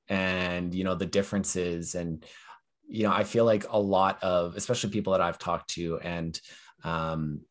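A young man hosting a podcast over a video call, talking in a relaxed way full of "you know" and "um". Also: low-pitched. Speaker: podcast host. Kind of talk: casual interview talk. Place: small room at home.

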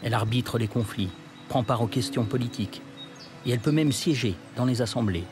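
A man narrating a historical documentary from a script, in a measured storytelling manner. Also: medium pitch. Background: music.

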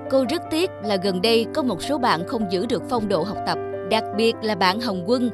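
A woman's voice: medium pitch, expressive, normal speed, over loud music, lightly acted. Earnest, mildly stern schoolteacher played by a woman. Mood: disappointed. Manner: clear classroom address, admonishing. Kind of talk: cartoon dialogue.

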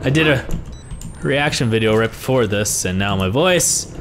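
A young man with a hoarse voice, commentating a horror game he is playing, swearing and reacting to scares. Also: mid-range voice, lively intonation, normal speed, noisy background. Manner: reactive, swearing, jumpy exclamations. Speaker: gaming YouTuber. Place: small room at home. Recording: game music behind the voice.

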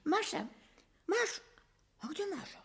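Little boy teddy bear, extremely high voice, childlike, earnest, naive, Soviet children's radio drama. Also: very animated, takes it slowly, fairly bright tone, full character voice. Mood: anxious.

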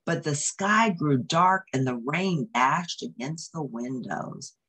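A woman reads a children's picture book in a low voice with a lot of melodic movement. She takes her time and explains things simply for young listeners. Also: expressive, slow, a bit bright, slightly rough. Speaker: children's story reader.

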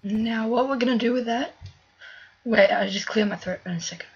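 Slightly hoarse woman narrating gameplay. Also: a little high, moderate pace, dull audio. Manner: chatty, thinks aloud with fillers. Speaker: gaming video creator. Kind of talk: casual let's-play commentary.

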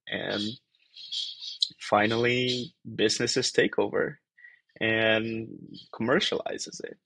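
Gravelly, husky young man on a video call. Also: fairly low pitch, a bit bright, no echo, low-quality recording. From Indonesia. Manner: rambling, thinks aloud with many fillers and restarts. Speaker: guest, PhD researcher. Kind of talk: casual video-call interview. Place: small bedroom-like room.

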